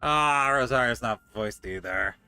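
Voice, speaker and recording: A man's voice: medium pitch, unhurried, bright, forceful tone, clean tone. A man doing casual, joking gameplay commentary as a game streamer. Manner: informal, joking, exclamatory. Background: faint game music.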